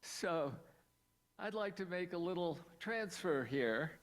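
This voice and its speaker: A man's voice: high voice, lively intonation, slow pace. A man from Pennsylvania giving a talk over a video call as a storyteller, lingering on single words, with playful asides. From Pennsylvania, USA.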